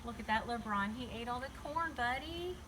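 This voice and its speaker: A woman's voice: fairly high pitch, lively intonation, moderate pace, noisy background. A woman reading a picture book to young children, chatting directly to them with questions and simple explanations. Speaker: children's read-aloud storyteller.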